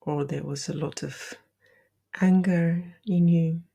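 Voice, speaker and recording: A woman leading a guided meditation, giving gentle, deliberate instructions with soft, breathy phrasing. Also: low-pitched, steady tone.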